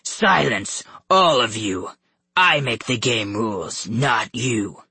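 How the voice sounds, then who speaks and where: Monstrous wolf-man villain played by a man, audiobook. Deep, raspy growl, slow and commanding, bloodthirsty. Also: animated, pushed and bright, no room sound, heavily acted. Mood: angry, commanding. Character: monstrous wolfish man, boastful, bloodthirsty video-game villain.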